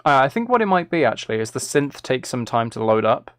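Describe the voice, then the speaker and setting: A man's voice: mid-range voice, big pitch swings, quick pace, no echo, clean studio sound. A man streaming casually while making music, thinking aloud to himself and often trailing off mid-thought. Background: synth and drum loops from music software, clicking.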